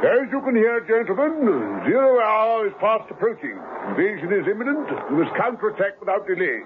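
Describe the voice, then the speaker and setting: An actor playing a pompous older brigadier in a 1970s radio play, barking military orders. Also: male voice, sits high, sing-song, brisk. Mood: commanding. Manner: barking military commands, blustery. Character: pompous old male brigadier reliving battles. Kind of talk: 1970s radio drama acting. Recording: tinny lo-fi old radio broadcast with hiss.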